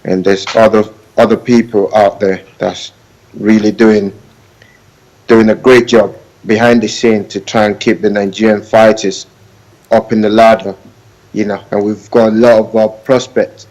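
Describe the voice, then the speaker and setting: Boxer guy calling in, lots of ums, kinda low voice. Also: flat delivery, a little slow, darkish tone, poor audio. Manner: hesitant, many ums. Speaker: boxer, remote guest. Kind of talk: casual interview talk.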